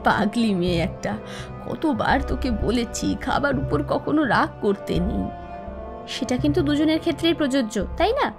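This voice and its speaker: A woman playing a gentle, caring village mother in a cartoon. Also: sits high, lively intonation, moderate pace, gravelly, music in the background. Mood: affectionate coaxing. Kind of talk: animated cartoon moral-story acting.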